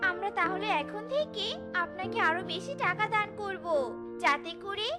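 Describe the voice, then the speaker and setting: A woman voicing a tiny, excitable young tailorbird, chirpy and gullible. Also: extremely high pitch, moderate pace, over loud music.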